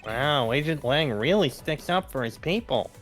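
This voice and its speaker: A man's voice: a little high, expressive, moderate pace, a bit bright. Teasing man, music playing behind. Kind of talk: improvised comedic banter during a game fan dub.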